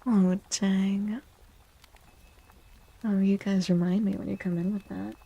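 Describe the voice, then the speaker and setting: A woman speaking softly and gently in a breathy, half-whispered ASMR style, like a livestreamer, often repeating phrases. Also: mid-range voice, little pitch movement, slow, dry recording, highs cut off. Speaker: streamer and tarot reader. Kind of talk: soft-spoken ASMR livestream with casual chat.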